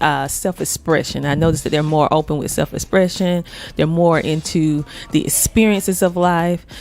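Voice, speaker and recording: A woman's voice: sits low, darkish tone. A woman hosting a talk show, asking long, winding questions of her guest. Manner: rambling, searching questions. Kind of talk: interview questions.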